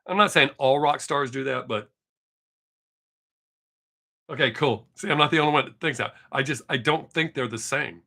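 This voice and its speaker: Man, 50s, Texan accent, live stream chat, rambling, profane, bright tone, webcam mic. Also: mid-range voice, normal speed, gravelly, pauses often. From Texas. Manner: rambling, profane, chatty with viewers.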